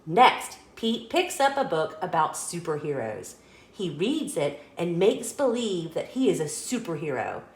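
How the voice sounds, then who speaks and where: A woman reading a children's picture book aloud as a story host, in an expressive, deliberate storytelling manner. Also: on the low side, roomy sound.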